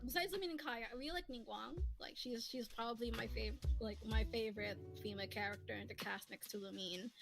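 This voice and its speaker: A woman's voice: on the high side, brisk, creaky, hardly any pauses. A woman hosting a casual stream, chatting and giggling with lots of fillers. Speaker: streamer and host. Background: faint lofi music.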